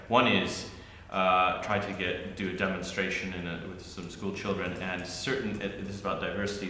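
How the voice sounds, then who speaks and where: A low-pitched man with a bright, forceful edge speaks on an academic panel. He thinks aloud, restarting his sentences and filling pauses. His voice rings through a roomy hall with a long reverb tail and a faint ambience. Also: a little hiss or hum. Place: university arts centre hall.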